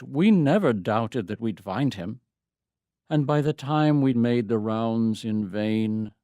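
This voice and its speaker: A man performing a dramatic poem as a troubled, devoted father, telling his story in a solemn, measured, deliberate way. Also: mid-range voice, darkish tone, no echo, clean studio sound. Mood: anxious, heavy. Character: Saint Joseph, troubled, devoted stepfather recalling his lost boy. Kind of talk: dramatic poetry reading, first-person monologue.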